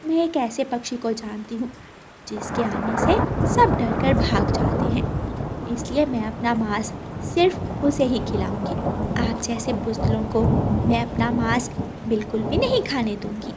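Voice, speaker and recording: A woman's voice: fairly high pitch, expressive, unhurried, heavy background noise. A woman voicing a brave, clever mother sparrow who speaks earnestly in a cartoon story. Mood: cleverly defiant.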